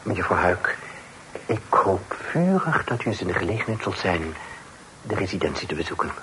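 Florid, swooping young gentleman. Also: low-pitched, big pitch swings, moderate pace. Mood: gallant, eager. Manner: florid, formal, polite. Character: courteous, eager young gentleman suitor in old Holland. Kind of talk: period radio drama acting. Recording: faintly noisy old radio play recording.

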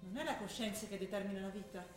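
An actress playing a young student daughter in a stage drama, earnest and patient, with a Trentino northern Italian accent. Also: female voice, medium pitch, fast, natural voice. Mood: earnest, declaiming. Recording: roomy theatre sound, music behind. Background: music.

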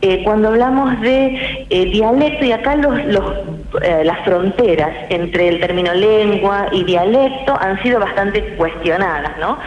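A woman, a guest linguist on a radio interview, explaining in a measured, academic way with many hesitations. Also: mid-range voice, a little mellow. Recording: roomy, muffled radio with hiss.

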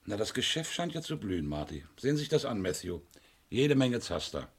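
An actor playing a shrewd, sardonic police inspector in a crime radio play, wry and teasing with suspects. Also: male voice, lowish voice, big pitch swings, fairly quick, very noisy. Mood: mocking, commanding.

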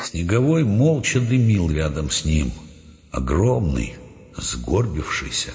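Lowish male storyteller in long reverb. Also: sing-song, takes it slowly. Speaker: narrator. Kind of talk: dramatized literary narration for an audio play. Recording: reverberant, dull sound, music underneath.

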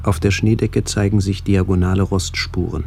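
A man playing a somber first-person narrator in a literary radio play, speaking in a measured, descriptive way. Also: deep voice, fairly even intonation, gravelly, darkish tone, a little hiss or hum. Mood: somber, contemplative. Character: somber male first-person narrator remembering his aged aunts.

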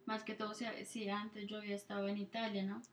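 A woman's voice: mid-range voice, completely flat delivery, quick pace, slight background noise. A Colombian woman with a Colombian Spanish accent, co-hosting a casual podcast and explaining things at length with many fillers. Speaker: podcast co-host. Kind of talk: casual conversational interview.

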